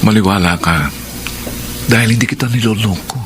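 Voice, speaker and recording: An actor playing an ardent, smooth-talking young suitor in a radio drama, earnest and pleading. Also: male voice, fairly low pitch, a little fast, close to an everyday voice. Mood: ardent, sincere. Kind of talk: Filipino radio drama acting. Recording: noisy background behind the voice.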